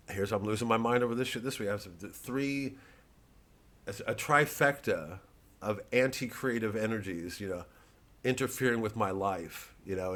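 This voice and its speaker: A man hosting a casual comedy monologue, digressing often, swearing freely and talking in halting, restarted sentences. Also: fairly low pitch, pushed and bright. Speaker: comedian, host.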